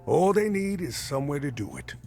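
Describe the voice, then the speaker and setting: Earnest musician, lively swooping pitch, hesitant folksy delivery, game dialogue, music behind. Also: male voice, a little fast. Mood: hopeful, earnest. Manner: hesitant, folksy, mild exclamations. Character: earnest, chipper male jazz musician and party organizer. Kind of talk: voiced dialogue in a narrative RPG.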